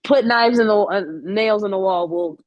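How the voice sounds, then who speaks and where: A woman's voice: on the high side, sing-song, normal speed, creaky, no echo, highs cut off. A woman in her late twenties, a podcast guest chatting casually, candid and blunt with frequent swearing and laughter slipping into her sentences. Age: 20s. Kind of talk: casual interview chat.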